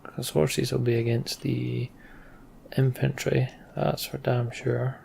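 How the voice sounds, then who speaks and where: A man's voice: medium pitch, little pitch movement, slow pace, gravelly, darkish tone, a little hiss or hum. A man giving casual, muttered gameplay commentary and reading story text aloud, as a let's play host.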